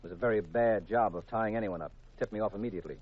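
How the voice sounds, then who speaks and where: An American actor playing a calm detective husband earnestly explaining himself in a 1950s radio drama. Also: male voice, on the low side, rapid-fire, breathy edge, no echo. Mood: confident. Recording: dull, lo-fi 1950s radio recording.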